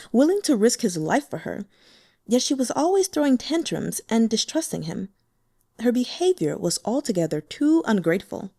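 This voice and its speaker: A woman narrating a translated fantasy novel in a lively, expressive reading. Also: medium pitch, no echo, clean studio sound. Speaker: audiobook narrator.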